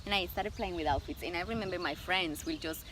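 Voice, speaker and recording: A woman in her forties from Colombia, speaking English with a Colombian Spanish accent, whose first language is Spanish. She is a guest talking warmly about her past, with frequent laughs and thoughtful pauses. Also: on the low side, a little hiss or hum. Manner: warm, laughs often, thoughtful pauses with fillers. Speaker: guest, image consultant. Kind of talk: casual interview.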